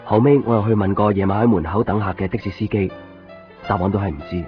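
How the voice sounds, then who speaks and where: A man's voice: fairly low pitch, fairly even intonation, fast talker, close to an everyday voice. An actor playing a lovesick, obsessive young man in a Cantonese radio drama with a Hong Kong accent, plaintive and insistent. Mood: weary narrating. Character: lovesick young copy-shop man obsessively searching for missing girlfriend. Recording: muffled 1990s radio drama recording. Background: music.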